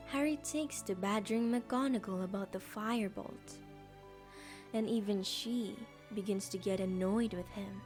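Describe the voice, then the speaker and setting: A woman's voice: mid-range voice, a little mellow. A woman reading scripted story narration as the narrator of an audio drama.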